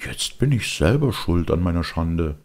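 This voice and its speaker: Old storybook father, played by a male reader: exasperated, emphatic, gravelly, raised pitch. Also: sing-song, moderate pace, darkish tone, heavily acted. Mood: sorrowful. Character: gruff old woodcarver father, exasperated, scolding.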